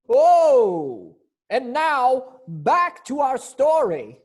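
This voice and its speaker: A woman's voice: sits high, very animated, drawn-out words, darkish tone. A woman hosting and narrating a mystery reading in a theatrical, exclamatory style. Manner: dramatic, exclamatory. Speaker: narrator and host.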